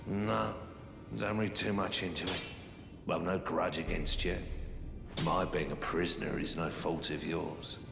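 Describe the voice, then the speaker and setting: An actor playing a gruff, weary prisoner blacksmith in a video game, gravelly, terse and resigned. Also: male voice, low-pitched, steady tone, music in the background. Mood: resigned, stoic. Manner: slow, terse, fatalistic. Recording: cavernous echo with long reverb.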